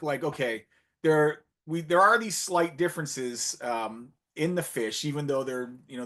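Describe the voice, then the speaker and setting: A man giving a conference talk with slides, chatty and full of fillers and restarts, getting animated when he retells his anecdotes. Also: mid-range voice. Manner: conversational, many fillers and restarts. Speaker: conference speaker. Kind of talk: presentation with slides, storytelling.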